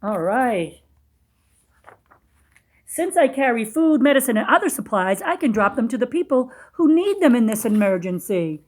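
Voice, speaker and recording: Woman with a kinda high voice reading a picture book to little kids, teacher-like, stops to explain and ask stuff. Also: sing-song. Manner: teacherly, pausing to question and explain to child listeners. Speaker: children's storybook reader. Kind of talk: read-aloud with simple explanations for young children.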